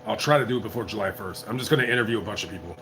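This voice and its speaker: A tipsy man live-streaming as a YouTuber, chatting casually in a rambling, halting way full of restarts. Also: lowish voice, noisy background. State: tipsy from drinking. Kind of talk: casual livestream chat.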